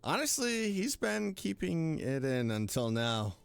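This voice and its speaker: A streamer gives casual, playful reactions while gaming. The pitch leaps around dramatically and the delivery is unhurried, coming in short expressive bursts. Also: gravelly, smooth, soft background music. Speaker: streamer, VTuber. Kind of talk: casual game playthrough reactions.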